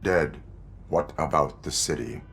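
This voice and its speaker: An actor playing a stoic male cyborg police officer, speaking in flat, clipped, terse lines in a game cutscene. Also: extremely low pitch, unhurried, vocal fry, soft background music, heavily acted. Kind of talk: video game cutscene acting.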